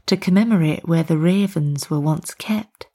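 A woman voicing a kindly, eccentric village tea lady in storybook dialogue, chirpy, motherly and gently questioning. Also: fairly low pitch, moderate pace, lightly acted. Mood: storytelling, hushed.

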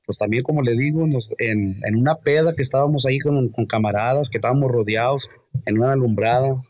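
A soft-spoken man from California answers interview questions in a halting, hesitant way. His voice sits in a middle range. The sound is close and dry. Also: medium pitch, no room sound. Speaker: guest musician. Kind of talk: casual interview.

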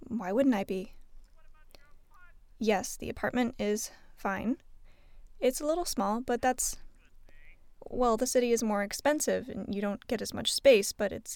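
A woman's voice: on the high side, darkish tone, no room sound. An actress playing a timid young woman telephone operator who stammers and apologizes nervously. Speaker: switchboard operator.

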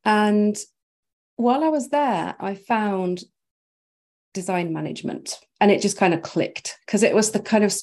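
A woman from Norway, a brand strategist, guesting on an interview over a video call, chatty and quick to laugh. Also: mid-range voice, darkish tone. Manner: chatty, laughs often, thinks aloud with fillers. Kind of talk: casual interview chat.

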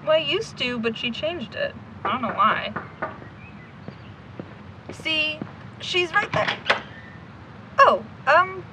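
A brisk young woman who likes to take charge. Her voice is high and animated, with a wry edge. The audio drama recording carries faint background noise. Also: heavily acted. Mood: polite, slightly awkward. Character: bossy, brisk young woman leading amateur supernatural sleuths. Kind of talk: audio drama acting, naturalistic.

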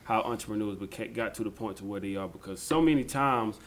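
A man's voice: on the low side, fast, a little hiss or hum. A man hosting a podcast interview in a casual, chatty way, often saying "yes, sir" and using fillers like "um". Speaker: podcast host. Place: on location.

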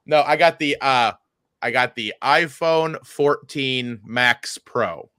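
A man's voice: mid-range voice, slow, sharp tone, smooth, no echo, studio quality. A man co-hosting a casual comedy podcast, rambling and joking with some swearing. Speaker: podcast co-host.

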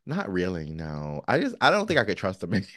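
Very low-voiced man chatting candidly. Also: huge pitch swings, a little fast, fairly bright tone, dry recording, studio quality. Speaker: podcast co-host; counselor. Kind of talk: casual chat, candid and personal.

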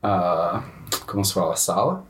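A man co-hosting a casual interview who pauses to search for words as he asks questions. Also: low-pitched, animated, fairly bright tone. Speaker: co-host.

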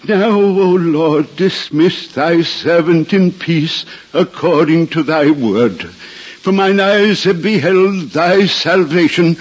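A husky, aged holy man declaims with solemn fervor and great slowness. It is 1950s radio drama acting, heard on a dull old recording with faint music behind. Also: a little high. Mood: reverent, joyful. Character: aged devout male prophet, solemn and fervent.

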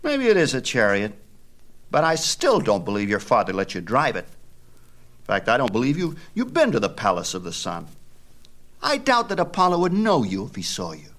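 A man's voice: medium pitch, huge pitch swings, moderate pace, a bit bright, voice pushed up. A man voicing a boastful, taunting young boy, cocky and scornful, in a mythology storybook. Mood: scornful, doubting.

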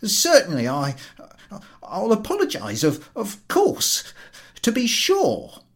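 A man's voice: sits high, wildly swooping pitch, slow, voice pushed up, full character voice. A man voicing an over-zealous, easily flustered railway ticket collector in a storybook reading, officious and whining. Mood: panicked. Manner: whining, officious, stammering when cornered.

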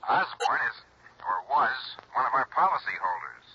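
A man's voice: mid-range voice, sing-song, moderate pace, breathy edge, full character voice. An actor playing an insistent, businesslike insurance man on a telephone in an old-time radio drama. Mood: urgent, serious. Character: insistent insurance company man calling an investigator. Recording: dull old radio recording, telephone sound.

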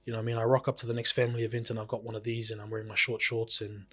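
A man co-hosting a podcast, speaking in a measured, thoughtful, soft-spoken way. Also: lowish voice, flat delivery, slightly rough. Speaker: podcast co-host. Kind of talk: casual podcast chat.

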